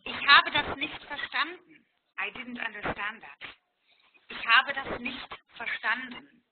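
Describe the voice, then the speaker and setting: Slow, patient German teacher on a call. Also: female voice, on the high side. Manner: slow, clear teacher talk, repeating phrases. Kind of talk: online language lesson. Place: airport, indoors. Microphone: laptop on video call. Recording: tinny video call line with noise.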